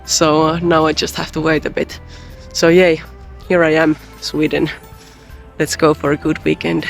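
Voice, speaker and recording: Young man, slightly high voice, gravelly fry, hesitant casual vlog talk. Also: level tone, normal speed, soft background music. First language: Finnish. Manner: hesitant, many ums and uhs. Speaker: convention artist vlogger.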